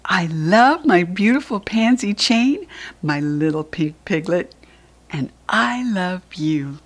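A woman with an American accent voicing a loving animal mama in a picture book, tender, drawn-out and emphatic. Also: fairly low pitch, expressive. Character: loving animal mama praising her baby's gift.

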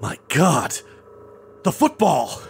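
A man plays a short-tempered government boss in an audio drama, barking in exasperation. His pitch leaps widely over a slow delivery. The recording is noisy. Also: wildly swooping pitch, heavily acted. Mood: exasperated. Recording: clearly noisy, clicks.

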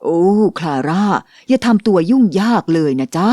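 A woman voicing a gentle, patient mother in a dubbed cartoon, speaking in a soothing, coaxing way. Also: medium pitch, lively intonation, normal speed, dry recording, clean studio sound, close to an everyday voice. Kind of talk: cartoon dubbing.

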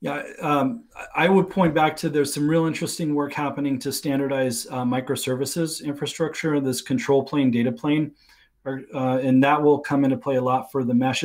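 The middle-aged male specialist you would hear dialled into an online tech panel from his attic study, working through a detailed answer out loud in a mid-range voice. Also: medium pitch. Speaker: guest expert. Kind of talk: explanatory technical talk on a livestream. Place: small attic room at home. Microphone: video call.

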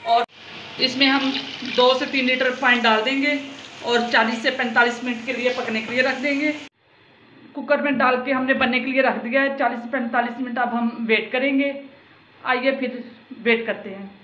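Woman, cooking host, calm instructional tone, flat delivery, echoey kitchen. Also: fairly high pitch, moderate pace. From Pakistan. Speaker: home cooking channel host. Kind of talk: step-by-step cooking voice-over. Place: home kitchen. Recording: roomy, muffled, with background noise.

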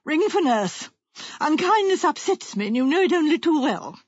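A woman's voice: high-pitched, big pitch swings, moderate pace, dry recording, highs cut off, pitched above the performer's own voice. A woman voicing a peevish, self-pitying, imperious wife with dramatic, complaining emphasis in an audiobook story. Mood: wounded. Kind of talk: audiobook character voice.

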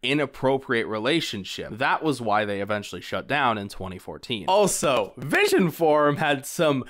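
A man's voice: mid-range voice, sing-song, moderate pace, fairly bright tone. A man narrating a video essay in a casual, conversational way, with informal, wry asides.

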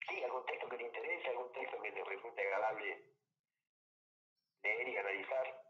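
A man's voice: fairly low pitch, moderate pace, sharp tone, reverberant, dull audio. Patient Argentine man teaching logic. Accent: Rioplatense Spanish. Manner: patient, explanatory, checks understanding with tag questions. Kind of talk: tutoring lesson over a call.

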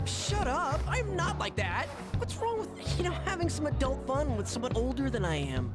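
Naive young guy, very high, swinging pitch, eager. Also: very animated, normal speed, over loud music. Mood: defensive. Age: young adult. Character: eager, naive young man, easily flustered. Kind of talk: video game cutscene acting.

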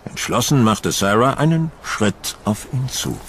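A man narrating a horror audio drama in a dramatic, deliberate storytelling manner, as a brooding gothic storyteller. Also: mid-range voice, expressive, a bit bright, a little hiss or hum. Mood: suspenseful.

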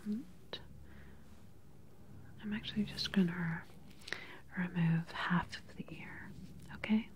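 A woman in her thirties whispers close to the microphone in a gentle, reassuring clinical manner, role-playing a doctor for ASMR. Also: fairly low pitch, fairly even intonation, slow pace, long pauses. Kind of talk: whispered ASMR medical exam roleplay. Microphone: close microphone, face near the camera.

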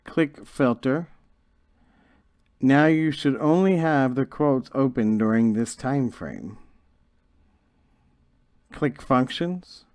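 A man narrating a scripted software tutorial in a measured, instructional way. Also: medium pitch, clean tone, no echo, clean studio sound. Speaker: software trainer.

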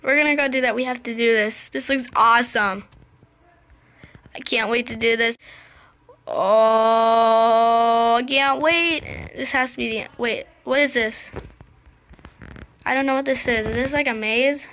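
A young girl commentating her gameplay in a casual, excited, chatty way, her voice slightly scratchy. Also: fairly high pitch, a little slow, long pauses. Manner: excited, chatty, impatient exclamations. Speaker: gameplay video host.

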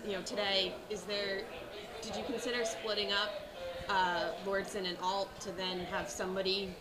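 A woman's voice: mid-range voice, level tone, moderate pace, over loud music, low-quality recording. A woman reporter asking a coach loosely phrased, conversational questions after a game. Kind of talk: postgame press questions.

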